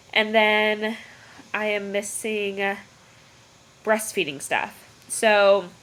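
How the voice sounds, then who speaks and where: Stuffy-nosed young woman, bright chatty voice. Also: mid-range voice. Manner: chatty, rambling, laughs often. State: stuffy, nasal from a cold. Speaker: vlogger. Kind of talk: casual pregnancy update vlog. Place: bedroom, indoors. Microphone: built-in computer mic. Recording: lo-fi laptop recording.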